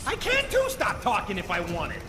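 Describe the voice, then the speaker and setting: An actor voicing a small, trigger-happy talking raccoon in a comic video game, sarcastic and blustering, with slangy talk. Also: male voice, high voice, huge pitch swings, moderate pace. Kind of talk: animated video game comedy acting. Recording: loud game music underneath.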